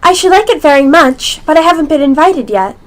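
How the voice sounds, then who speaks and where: A woman's voice: sits high, lively intonation, a little fast. A female amateur actor playing a curious, polite but easily exasperated little girl in a radio play.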